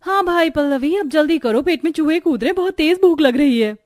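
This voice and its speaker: Woman doing chatty young girlfriend in a cartoon, really high voice, eager, music under it. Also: animated, fairly quick, darkish tone, no room sound, studio quality. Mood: impatient. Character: lively, blunt young female party guest.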